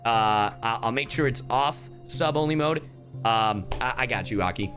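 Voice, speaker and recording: A man hosts a live game stream in a medium-pitched voice. He talks casually to viewers in loose slang with the odd swear word, and music plays behind him. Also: expressive, moderate pace, a bit bright. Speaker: streamer and voice actor.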